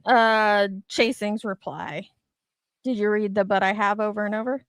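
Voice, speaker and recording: A woman's voice: mid-range voice, steady tone, moderate pace, no room sound, clean studio sound. A woman chatting casually as a co-host, blunt and gossipy, swearing freely and reading comments out dramatically. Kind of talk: casual chat with dramatic read-outs.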